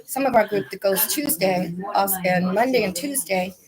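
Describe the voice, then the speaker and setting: A woman guest with a slightly hoarse voice chats casually, rambling and pausing on fillers as she goes. A crowd murmurs clearly behind her. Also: medium pitch, poor audio. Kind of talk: casual chat.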